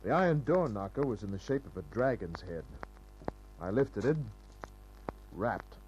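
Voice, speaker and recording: An actor playing a wry, tough private detective in old-time radio drama, dry and laconic. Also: male voice, fairly low pitch, sing-song, measured pace, a little mellow, dull audio, a little hiss or hum. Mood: hard-boiled, observant. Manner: dry, hard-boiled, laconic.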